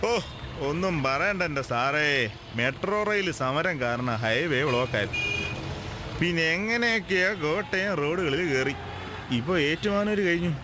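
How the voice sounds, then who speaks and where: Man acting a lively, chatty Malayali driver, noisy car. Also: on the high side, moderate pace, lightly acted. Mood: chatty, obliging. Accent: Malayali, from Kerala. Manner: deferential, talkative. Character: chatty, respectful male hired car driver. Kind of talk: radio drama acting. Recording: clear vehicle noise behind voice.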